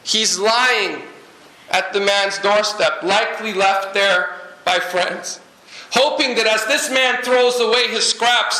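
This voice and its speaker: A man from Guyana preaches in a lively way, mixing jokes and asides into his sermon. His voice sits high and bright. It rings through an echoey church hall. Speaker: pastor.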